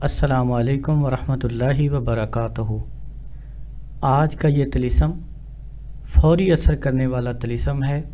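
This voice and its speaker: A man speaking as a spiritual healer, giving instructions in a formal, measured religious monologue. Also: medium pitch, steady tone, mellow.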